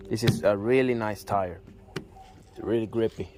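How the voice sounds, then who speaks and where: A young man from Puerto Rico with a Puerto Rican Spanish accent, a native Spanish speaker, walking around his pickup truck outdoors and explaining it in a casual, halting way, often searching for words and restarting. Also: fairly low pitch, a little mellow, a little hiss or hum. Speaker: car owner showing his truck.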